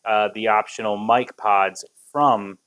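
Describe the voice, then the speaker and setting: A man's voice: on the low side, fairly even intonation, slow, a bit bright, clean tone. A man, hosting a casual product review in an upbeat, conversational manner. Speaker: tech review video host.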